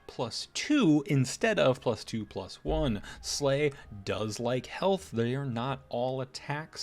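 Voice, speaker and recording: Casual man presenting game updates. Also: medium pitch. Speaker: presenter of game patch notes.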